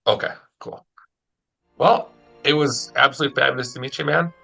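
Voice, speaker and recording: A man's voice: medium pitch, fairly quick, gravelly, bright, forceful tone, soft background music. A man chatting casually as he hosts an interview. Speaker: interviewer, audio drama producer.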